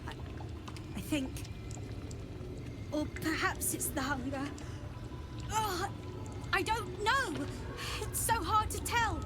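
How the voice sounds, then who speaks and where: Game role: frail young vampire spawn, high-pitched, hesitant and slow, pleading. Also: female voice, exaggerated voice. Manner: halting, pleading. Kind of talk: dramatic video game acting.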